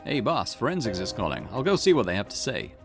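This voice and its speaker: A man's voice: fairly low pitch, wildly swooping pitch, fast talker, gravelly, faint music behind, exaggerated voice. A man voicing an easygoing young cop. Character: friendly young male police officer.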